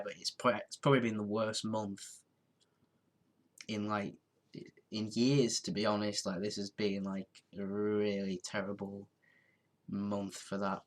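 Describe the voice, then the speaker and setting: A man's voice: lowish voice, fairly bright tone. A man in his twenties with a head cold, chatting casually on a live stream, tired and congested, rambling and restarting his sentences. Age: 20s.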